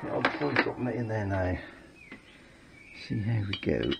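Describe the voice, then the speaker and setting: A man's voice: fairly low pitch, big pitch swings, measured pace, vocal fry, very noisy. A man thinking aloud with fillers as he works on an engine in his home workshop, filmed by a camera mic off to the side. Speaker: home mechanic filming his engine rebuild. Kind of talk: casual workshop commentary while working.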